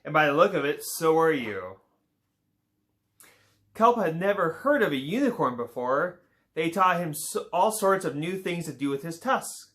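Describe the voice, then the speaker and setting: A man's voice: a little high, normal speed. Man reading a picture book aloud. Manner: relaxed reading with small slips and playful asides. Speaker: storyteller reading a children's book. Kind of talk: read-aloud children's story.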